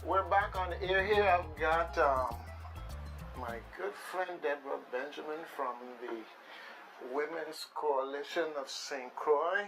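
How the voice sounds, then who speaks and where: Virgin Islands man hosting radio, higher-pitched voice. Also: over music, rough recording. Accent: Caribbean, Virgin Islands. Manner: playful, repeats his phrases, halting. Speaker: radio show host. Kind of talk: casual live radio talk. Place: radio studio with shared microphones.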